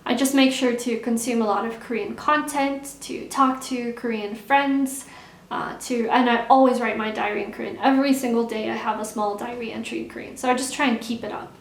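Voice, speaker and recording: A young woman vlogger chatting casually while answering viewer questions, relaxed and repeating words for emphasis. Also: fairly high pitch, brisk. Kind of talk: casual Q&A chat. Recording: echoey room.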